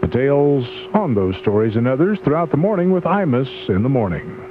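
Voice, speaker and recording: A thin AM radio recording with clear hum. A man with a mid-pitched voice reads a scripted morning news bulletin. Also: very animated, normal speed, lo-fi sound. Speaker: radio newsreader.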